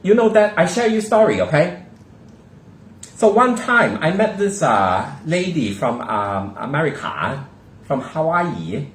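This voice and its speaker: Lively Singaporean man in an echoey room. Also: a little high, unhurried. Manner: animated storyteller, puts on a Hong Kong accent. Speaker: co-host. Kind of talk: casual chat.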